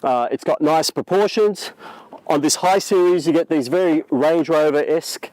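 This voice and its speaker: A man casually presenting a car walkaround in a chatty, halting way, searching for words. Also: a little high, darkish tone, no echo, poor audio.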